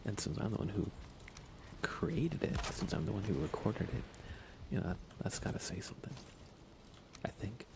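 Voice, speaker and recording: A man streaming ASMR in a soft, often whispery voice, chatting in an unhurried, low-key way with pauses. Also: vocal fry, noisy background. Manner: hushed, unhurried, relaxed delivery with pauses. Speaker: ASMR livestreamer.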